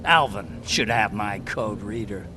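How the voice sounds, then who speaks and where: An actor playing an eccentric, talkative scientist and hacker in a video game cutscene, eager, blunt and given to explaining. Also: male voice, on the low side, a little slow, noisy background, natural voice. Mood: dry, instructive.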